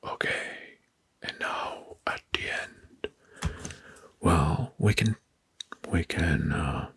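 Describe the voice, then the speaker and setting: A man narrating soft-spoken ASMR in a breathy, husky whisper, deliberately repeating names and phrases. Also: deep voice, lively intonation. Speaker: host of an ASMR history channel.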